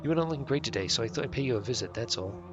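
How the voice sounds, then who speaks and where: A man's voice: medium pitch, expressive, rapid-fire, close to an everyday voice. A man voicing a mocking, foul-mouthed escaped suspect from a video game, cryptic and overly familiar, with music behind. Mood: needling.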